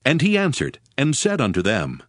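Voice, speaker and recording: A man's voice: medium pitch, huge pitch swings, moderate pace, dry recording, studio quality. A man narrating scripture from a script as the narrator of a dramatized reading.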